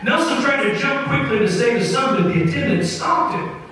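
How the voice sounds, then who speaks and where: A man with a high-pitched voice tells a story on stage in a folksy, measured manner, pausing deliberately. He is heard at some distance from the mic in a big reverberant hall, as in a live old-time radio variety show. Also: lively intonation, sharp tone. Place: opera house stage with live audience.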